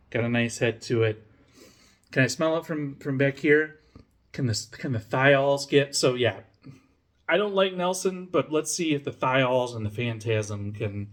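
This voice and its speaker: A man's voice: medium pitch, a little slow. A man casually reviewing a beer on camera, rambling with lots of fillers, restarts and swearing, and poking fun at himself. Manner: rambling, self-mocking, profane, many fillers and restarts. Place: home room, lives in Denmark.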